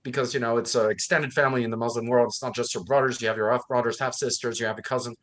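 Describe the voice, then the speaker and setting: A man's voice: mid-range voice, fairly even intonation, racing. A man who grew up in Thailand, co-hosting a book discussion, talking with conviction and acting out the people he quotes. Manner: impassioned, acts out quoted speakers. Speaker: co-host.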